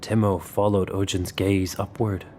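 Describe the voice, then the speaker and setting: A man's voice: sits low, flat delivery, a little slow, mellow. A man narrating a scripted dramatic story as its narrator. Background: music under the voice.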